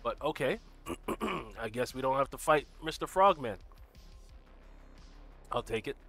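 A man hosting a gaming livestream in casual commentary, greeting viewers by name and walking through his build, a little stuffy from a cold and clearing his throat now and then. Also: medium pitch, expressive, a little slow, fairly bright tone, faint music behind. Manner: walks through game build details. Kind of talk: casual live gameplay commentary.

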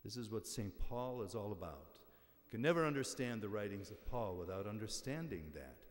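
An older American man preaches an informal homily as a priest. His voice sits a little low, and he talks conversationally, pausing and wandering into asides. A lectern microphone carries him through the long reverb of a large church. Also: animated. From western New York, United States. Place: large church interior, at the ambo. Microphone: gooseneck lectern microphone. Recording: reverberant church hall sound.

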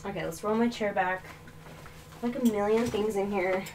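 A woman's voice: medium pitch, fairly even intonation, normal speed, a little mellow, rough recording. A woman in her thirties with a faint Arkansas accent rambles through a casual livestream, poking fun at herself as she shuffles things around her bedroom. She drifts on and off a webcam mic, and a faint hiss sits under her voice. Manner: rambling, self-mocking, talks while moving things around. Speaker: livestreaming doll collector. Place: small bedroom full of dolls. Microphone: webcam mic, often off-mic moving around.

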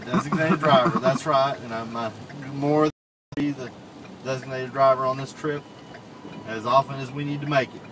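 A man's voice: medium pitch, steady tone, normal speed, noisy background. A man chatting casually and laughing as he drives a car. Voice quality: laughing, easygoing. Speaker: driver and travel companion. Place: inside a moving car.

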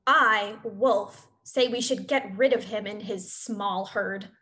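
A woman playing a blunt, wary, disdainful wolf, speaking in measured statements in a play reading. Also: mid-range voice, sharp tone.

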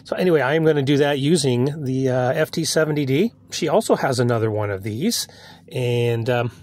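A man's voice: medium pitch, expressive, brisk, darkish tone. A man chatting casually as a radio hobbyist and video host, rambling and hesitant, recorded inside a car. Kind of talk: casual vlog narration.

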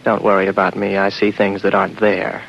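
A man's voice: low-pitched, monotone, a little fast, vocal fry. An actor playing a weary, wry, isolated spaceman who doubts his senses and muses to himself, in 1950s radio drama style. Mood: wry, guarded. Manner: musing, talking to himself. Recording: dull old radio recording with music.

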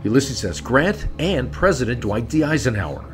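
A man's voice: mid-range voice, big pitch swings, moderate pace. A man reading scripted narration for a paranormal investigation video. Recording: voice-over with background music.

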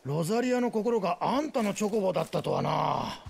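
High-voiced, rough-talking friendly merchant. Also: male voice, expressive, brisk, a little mellow, a little hiss or hum, heavily acted. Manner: folksy, rough masculine speech. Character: grateful traveling merchant, friendly rough-talking commoner man. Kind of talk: dramatic video game voice acting.

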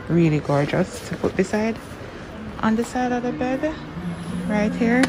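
Slow, musing woman touring a store. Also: fairly low pitch, poor audio. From Jamaica. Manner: relaxed, musing, many ums and likes. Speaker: lifestyle vlogger. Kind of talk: casual store tour voice-over. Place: home decor store. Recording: music playing under the voice. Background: background music.

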